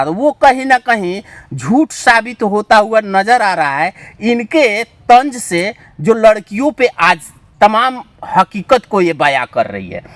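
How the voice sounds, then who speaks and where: High-pitched man interviewing. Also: a bit bright, dry recording. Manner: wordy, repeats guest's answers back. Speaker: interviewer, radio channel host. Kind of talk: informal on-camera interview.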